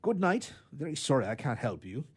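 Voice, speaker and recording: A man's voice: mid-range voice, big pitch swings, normal speed, breathy edge, voice pushed up. A man voicing a sleepy, apologetic old champion knight in a rambling storybook manner. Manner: drowsy, rambling.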